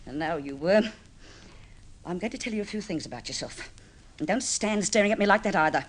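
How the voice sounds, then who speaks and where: A woman's voice: fairly low pitch, expressive, a little fast, close to an everyday voice. A woman playing a shrewish, emphatic wife in an old-time radio drama. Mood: scornful, scolding. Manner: nagging, contemptuous, emphatic. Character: shrewish, scolding wife of a meek grocer. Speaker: radio actress. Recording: noisy old radio recording.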